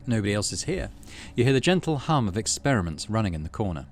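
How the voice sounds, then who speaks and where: Low-voiced man reading out a game. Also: very animated, fast. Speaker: gaming channel host, player. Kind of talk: casual game playthrough, reading narration aloud.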